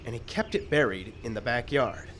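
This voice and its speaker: A man acting a fussy screenwriter in a live radio comedy. He is middle-pitched, plaintive and deadpan, forever suspicious and put upon. Outdoor noise and birds sit behind him. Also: moderate pace, close to an everyday voice. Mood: storytelling. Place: outdoor park stage. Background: birds and outdoor ambience.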